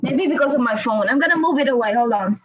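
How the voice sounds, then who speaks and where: A woman chatting casually and excitedly as the host of a live online interview, over a video call. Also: sits high, fast, dull audio. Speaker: host interviewing a guest on a livestream.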